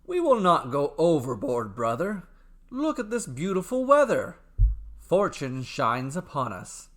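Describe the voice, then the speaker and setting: A man acts out a brave, boisterous brother in a mythic storybook. He declaims with hearty, carefree confidence, unhurried and very animated in pitch. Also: full character voice. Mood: confident, carefree. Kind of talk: dramatic storybook character acting.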